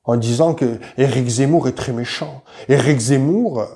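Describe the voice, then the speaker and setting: A man's voice: mid-range voice, unhurried, dark tone. A man giving informal, argumentative commentary, confronting the listener directly and repeating himself for emphasis. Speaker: YouTube commentator.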